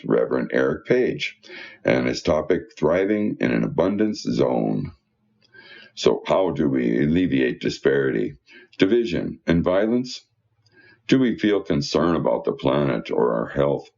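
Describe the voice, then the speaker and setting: A man's voice: a bass voice, creaky, darkish tone. A man reading church newsletter material aloud, now and then stumbling over his words, in a dull, muffled recording. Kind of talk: read-aloud church announcements and prayer.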